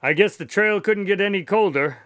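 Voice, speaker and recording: An actor playing a loyal, practical doctor sidekick in a radio drama, proper and mildly exasperated in manner. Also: male voice, sits high, fairly quick, a bit bright, smooth, no room sound, studio quality. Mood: curious, exasperated.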